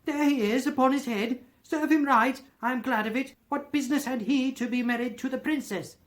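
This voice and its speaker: Lady doing old miser guy all worked up over memories, high loud voice, half laughing half crying. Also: a little slow. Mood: excited nostalgia. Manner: earnest, between laughing and crying. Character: old miser Scrooge, excited and tearful at boyhood memories.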